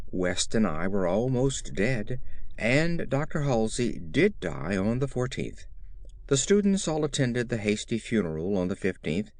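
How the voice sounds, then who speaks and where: A man narrating a horror story as a dramatic, scripted audiobook reading. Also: fairly low pitch.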